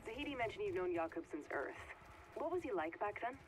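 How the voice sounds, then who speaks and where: A woman's voice: medium pitch, very animated, quick pace, background noise, lightly acted. A woman playing an inquisitive, judgmental crew member in a video game. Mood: probing. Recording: loud game ambience behind.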